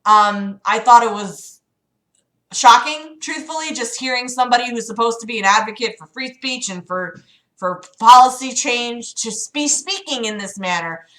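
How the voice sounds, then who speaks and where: A woman's voice: fairly high pitch, moderate pace, fairly bright tone, poor audio. Hesitant, rambling woman chatting on a livestream. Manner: hesitant, rambling, self-deprecating. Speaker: livestream host. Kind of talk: casual chat with livestream audience.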